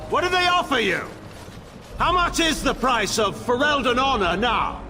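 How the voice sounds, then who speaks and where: A proud, scornful general addresses others in theatrical fantasy game style. His voice swings dramatically and has some gravel as he declaims with a commanding sneer over music. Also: very high-pitched, big pitch swings, moderate pace, pushed and bright, in a large hall, heavily acted. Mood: scornful accusation.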